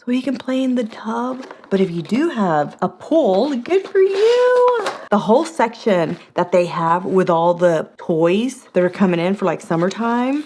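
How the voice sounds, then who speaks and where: A middle-aged woman, hosting a casual product review vlog in a chatty, animated way, a little hoarse. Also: slight background noise. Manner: chatty and animated, with gasps and big jumps in pitch.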